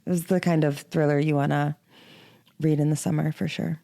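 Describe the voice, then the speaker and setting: A woman with a low-pitched voice co-hosts a book podcast. She talks casually and chattily, in loose, informal phrasing, as in a relaxed conversation. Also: fairly quick, vocal fry. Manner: chatty, informal, frequent "like" as filler. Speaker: podcast co-host.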